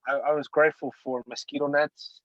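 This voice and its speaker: A man's voice: fairly high pitch, level tone, fairly quick, dry recording, muffled recording. A young man from Utah, a podcast guest telling stories in an easygoing, joking way. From Utah, United States. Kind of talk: casual interview storytelling.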